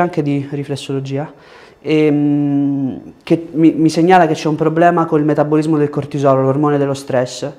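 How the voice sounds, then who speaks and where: A man, working as a chiropractor and giving short, gentle instructions and questions during an exam. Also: a little high. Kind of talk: hands-on exam, instructing a patient. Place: small treatment room indoors.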